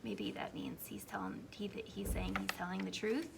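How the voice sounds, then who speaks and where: Woman teacher prompting children, creaky voice. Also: medium pitch, normal speed, noisy background. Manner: gentle explaining, prompting with questions. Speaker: preschool teacher reading aloud. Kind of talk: read-aloud asides and questions to young children.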